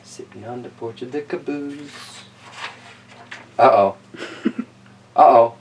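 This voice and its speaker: A man's voice: mid-range voice, slow pace, a little mellow, distant mic, soft background music. A man reading a picture book aloud for children, dropping in playful, wry asides as he goes. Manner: playful, wry commentary on the story. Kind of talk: animated children's story reading with casual asides.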